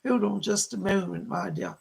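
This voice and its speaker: A gentle motherly female spirit acted out by a male medium speaks in a very creaky, husky voice. The delivery is soothing and effusive, full of genteel endearments, as in a séance. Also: high-pitched, moderate pace, heavily acted. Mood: excited, welcoming.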